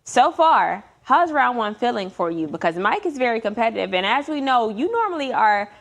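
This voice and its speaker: A woman's voice: on the high side, very animated, normal speed. A woman hosting a culture quiz show with casual, playfully teasing banter. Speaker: game show host.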